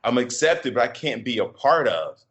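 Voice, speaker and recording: A middle-aged man from Chicago with a deep voice, a podcast guest talking in a reflective, halting way with many fillers and restarts. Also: very animated, a bit bright. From Chicago, USA. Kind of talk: casual interview conversation.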